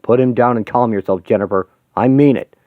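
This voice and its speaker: A man's voice: mid-range voice, fairly quick, no echo, lightly acted. A man voicing a stern soldier hero barking firm, clipped orders over tinny, phone-like audio. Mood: commanding.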